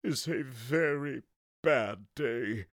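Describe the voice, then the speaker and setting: A man's voice: mid-range voice, extremely slow, no echo, studio quality, voice pushed up. A man doing fretful, flustered Mister Brown. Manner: fretful, anxious pleading. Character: flustered fussy grown man who lost his hat.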